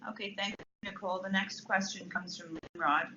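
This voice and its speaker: A woman moderating a webinar, reading out audience questions in a brisk, orderly way. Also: mid-range voice, fairly even intonation, pushed and bright, dull audio, a little hiss or hum.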